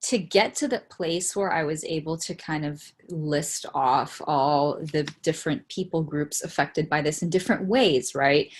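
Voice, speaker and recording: A woman's voice: low voice, measured pace, hardly any pauses. A young woman from Miami, a theologian and interview guest, talking in an earnest, reflective way with frequent fillers. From Miami, Florida, United States. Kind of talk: casual conversational interview.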